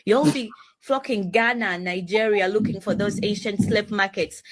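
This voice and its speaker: A woman's voice: mid-range voice, steady tone, moderate pace. A woman heard in a played clip, lecturing in an accusatory way.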